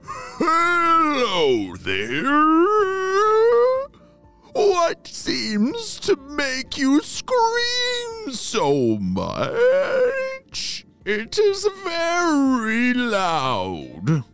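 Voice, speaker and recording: A woman voicing a polite, shy male monster in a children's story, with drawn-out, wobbly, exaggerated speech over music. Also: high voice, huge pitch swings, a bit bright, pitched above the performer's own voice, full character voice. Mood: puzzled, mild. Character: gentle slow-talking moon-eating monster, male, polite and shy.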